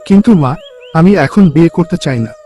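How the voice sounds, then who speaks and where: A man's voice: on the high side, lively intonation, normal speed, dark tone, faint music behind. A man voicing a humble, obedient young village son in a cartoon, speaking earnestly. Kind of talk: Bengali cartoon voice acting.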